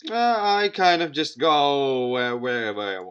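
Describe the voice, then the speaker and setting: A man's voice: medium pitch, big pitch swings, takes it slowly, fairly bright tone, clear, resonant tone, dull audio. A man hosting a let's play, reading visual novel text aloud with commentary and often tripping over words and repeating them. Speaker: gaming video host.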